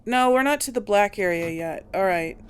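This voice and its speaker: A woman's voice: medium pitch, lively intonation, normal speed, a little hiss or hum. A woman doing casual video game commentary, musing aloud and questioning herself as she plays. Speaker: let's play host.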